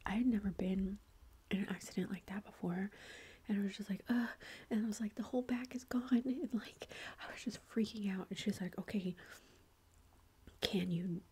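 A woman in her thirties tells a personal story in a soft, steady whisper. Her voice stays flat, with little rise and fall. She chats along with many fillers, and a faint hiss of noise sits behind her. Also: medium pitch, brisk. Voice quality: whispering throughout. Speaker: vlogger.

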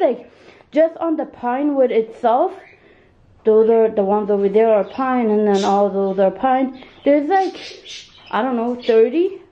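A woman's voice: a little high, mellow. A congested woman hosting a home craft video, rambling with many ums and self-corrections as she shows her work off camera. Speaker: craft channel host. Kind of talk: casual show-and-tell narration. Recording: dull lo-fi sound, faint noise.

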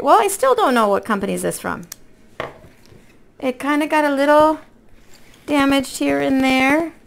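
Lady with a hoarse scratchy voice, kinda high, rambling folksy vlog chat. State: hoarse from illness with a cough. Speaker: craft vlog host. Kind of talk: casual chatty unboxing. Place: indoor room at a table.

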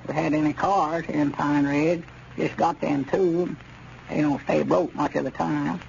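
A naive old Southern country fellow, high and creaky in voice. He rambles along hopefully in folksy radio comedy style. The old recording is muffled. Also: fairly even intonation, measured pace, a little mellow, slight background noise. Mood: wistful, hopeful. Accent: rural Southern US. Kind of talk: 1930s radio comedy acting.

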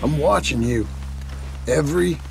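An actor playing a suspicious, hot-tempered American outlaw henchman in a western game, with a gravelly voice. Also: a little high, measured pace. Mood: threatening. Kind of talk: video game western acting. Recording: loud music over the voice.

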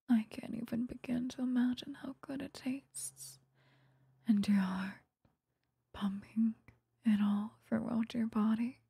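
A woman voicing an obsessive, possessive vampire stalker in an intimate, breathy ASMR roleplay whisper, close to the microphone. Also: on the high side, warm tone, dry recording, heavily acted. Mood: ravenous longing. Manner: slow, intimate, lingering delivery.

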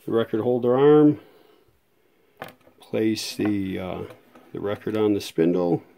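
A man's voice: medium pitch, gravelly, mellow. A man casually demonstrating a vintage radio repair at his workbench, talking in a rambling, hesitant way with many fillers, filmed one-handed on a phone. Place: workshop bench with fluorescent lights. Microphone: handheld phone camera, one-handed. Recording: background hum from fluorescent lights.

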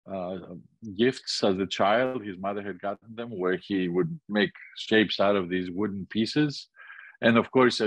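A man's voice: lowish voice, no echo, poor audio. An older man from Greece with a Greek accent, giving an illustrated lecture over a video call, thoughtful and full of restarts. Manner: thoughtful, many restarts and pauses. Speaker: architecture teacher.

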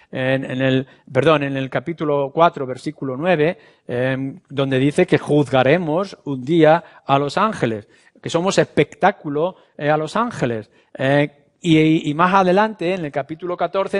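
An older man, in his sixties, speaks with a Castilian accent in a slightly high voice. He preaches like a teacher, explaining step by step and often pausing to correct himself. He is indoors, picked up by a lapel microphone. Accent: Castilian Spanish. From Spain. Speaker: evangelical pastor. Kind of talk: expository sermon, teaching. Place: indoor room, at the front before listeners. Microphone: clip-on lapel microphone.

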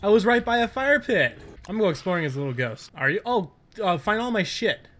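An excitable man with a distinctly high voice, hosting casual gameplay. He talks informally to a co-op partner and swears freely, in the loose style of friends gaming together. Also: quick pace. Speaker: gamer, video host.

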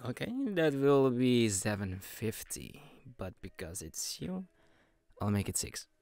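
A man voicing an obsessive, falsely sweet young male friend in an intimate audio roleplay, soft and teasing, often breathy. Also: mid-range voice, lively intonation, no room sound. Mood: friendly, teasing. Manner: slow, chuckling, teasing, coaxing.

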